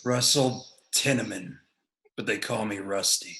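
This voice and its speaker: A snarling biker brawler with a short fuse, voiced by a man, gruff and hostile, his tone bright and forceful. It rings in a roomy, echoey space. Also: fairly low pitch, exaggerated voice. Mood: groggy, hostile. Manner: gruff, hostile, quick to anger. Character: Rusty, a hot-tempered male biker brawler, young adult. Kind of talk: livestream play script reading.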